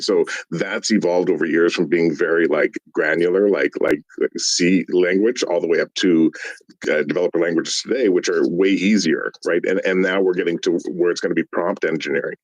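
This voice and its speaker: A man with a very low voice talks through ideas in a casual panel debate. He keeps checking in with "right?" as he builds his point. The sound is dry and close. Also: dry recording, poor audio. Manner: thoughtful, seeks agreement with tag questions.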